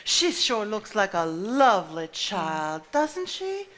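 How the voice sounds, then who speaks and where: A woman's voice: mid-range voice, very animated, takes it slowly, a bit bright. A woman playing a sweet, languid Southern wife in a stage drama, genteel and gushing. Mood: tender, curious. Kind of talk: live stage drama acting.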